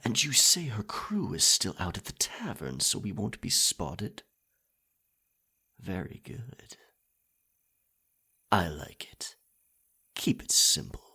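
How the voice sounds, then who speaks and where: A sly, domineering pirate captain for roleplay ASMR. His low voice is very husky and raspy, and he talks slowly and theatrically, with menace and dark amusement. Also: big pitch swings, exaggerated voice. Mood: sly, conspiring. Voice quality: raspy, gravelly. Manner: slow, deliberate, theatrical pirate talk.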